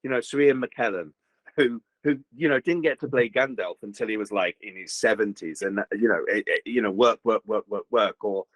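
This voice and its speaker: An older man, a voice actor chatting in an interview, slightly gruff, rambling with many fillers and restarts. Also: on the low side, moderate pace, fairly bright tone, no echo, rough recording. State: slightly gruff after a recent virus. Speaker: voice actor guest. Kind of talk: casual interview chat.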